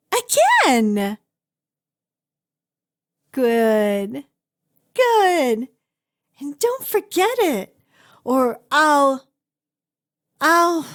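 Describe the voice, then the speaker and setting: A woman playing an obsessive, doting kidnapper in an ASMR roleplay, with a gushing, cutesy, halting and sweetly menacing delivery. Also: high-pitched, exaggerated voice. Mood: playfully bossy. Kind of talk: ASMR roleplay acting addressed to the listener.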